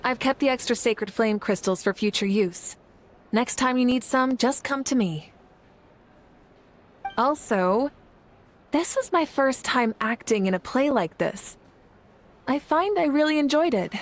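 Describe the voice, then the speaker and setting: Composed, friendly young woman, slightly high voice. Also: animated, unhurried, pauses often, a little hiss or hum. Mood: friendly, sincere. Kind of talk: video game dialogue acting.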